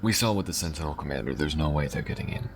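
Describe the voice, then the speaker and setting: A man playing a gruff, devoted alien smuggler in an intimate audio roleplay, speaking haltingly, tenderly and often hushed, with a deep gravelly voice. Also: animated, slight background noise. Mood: relieved, determined.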